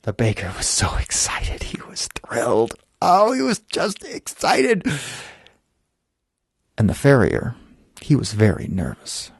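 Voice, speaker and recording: A man telling a bedtime story as host, with deliberate phrasing and dramatic pauses. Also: mid-range voice, huge pitch swings, creaky, husky, no room sound, in a whisper, clean studio sound.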